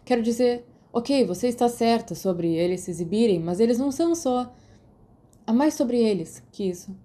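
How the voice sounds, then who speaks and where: A woman voicing a quiet, awkward schoolboy wizard in a story, speaking hesitantly and evasively. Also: a little high, animated, normal speed, lightly acted. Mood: defensive.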